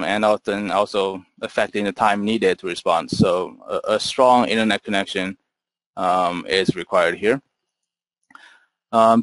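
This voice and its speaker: A man giving an explanatory software webinar presentation over slides, in a measured, businesslike manner as the product presenter. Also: fairly low pitch, dry recording.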